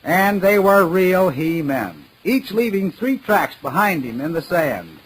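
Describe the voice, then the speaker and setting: A man's voice: high voice, very animated, a little slow, a little hiss or hum, poor audio. A man playing a pompous, mock-serious radio announcer giving an oratorical comedy speech in 1940s style on an old 78rpm record. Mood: mock-solemn.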